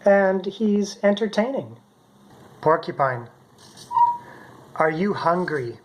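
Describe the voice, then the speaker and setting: A man's voice: high voice, expressive, slow pace, slight background noise, low-quality recording. A middle-aged man casually narrating a demonstration as a hobbyist maker. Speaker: maker presenting his homemade robot.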